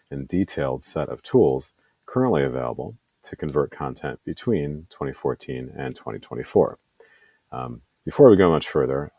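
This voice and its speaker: A man's voice: extremely low pitch, darkish tone, dry recording. A middle-aged man presenting a game tutorial from his home laundry room, earnest and self-deprecating with frequent ums. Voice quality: radio voice. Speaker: indie game publisher and video presenter.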